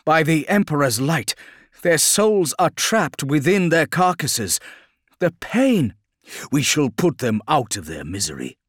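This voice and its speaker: A man's voice: fairly low pitch, big pitch swings, normal speed, few pauses, a bit bright, husky, dry recording, studio quality, exaggerated voice. A man voicing a grim, righteous space marine psychic warrior with intense, solemn delivery in an audiobook. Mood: horrified, resolute. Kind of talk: dramatic audiobook character acting.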